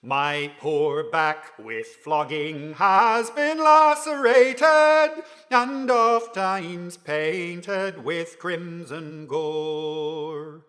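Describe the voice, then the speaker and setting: Very slow male ballad singer, reverberant. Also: a little high, fairly bright tone, faint music behind. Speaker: folk singer. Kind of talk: slow sung ballad with convict lament. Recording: long hall reverb.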